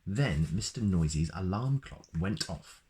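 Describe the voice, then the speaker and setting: A man's voice: low-pitched, lively intonation, a little slow, a little mellow. A man reading a children's picture book aloud in a playful way, adding sound effects. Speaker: storyteller.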